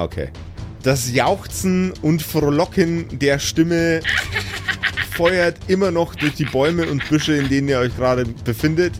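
A man improvising narration as game master of a fantasy tabletop audio play, drawing out his scene descriptions suspensefully. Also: fairly high pitch.

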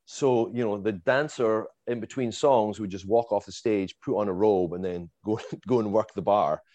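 A man with a Scottish accent, casually telling a lively story as a guest and laughing and swearing along the way. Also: fairly low pitch, normal speed, dry recording, lo-fi sound. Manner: animated, swears, laughs while telling, acts out quotes.

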